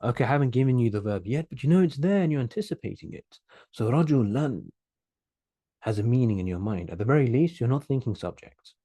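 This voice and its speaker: A man's voice: mid-range voice, animated, brisk, warm tone, dry recording. A young man teaching Arabic grammar in an online whiteboard lesson, earnest and explanatory, often repeating words as he thinks.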